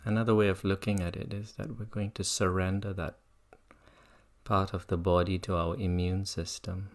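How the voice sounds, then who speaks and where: A meditation teacher gently guiding listeners through a practice in an unhurried way. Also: deep voice, level tone. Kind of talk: guided meditation talk.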